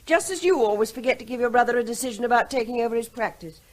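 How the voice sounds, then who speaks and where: A resentful wife, played by a woman, speaks in a slightly raised voice. She makes cool, needling remarks with a sharp edge. This is a domestic scene in a classic radio play. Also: on the high side, racing, close to an everyday voice.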